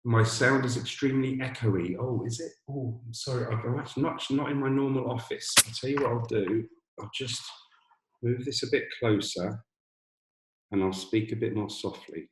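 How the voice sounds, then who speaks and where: A man with a boomy voice presents a webinar on a video call from an empty borrowed office, talking hesitantly with many restarts and fillers. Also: normal speed, roomy sound, a little hiss or hum, low-quality recording. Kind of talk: informational webinar talk. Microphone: computer mic on a video call.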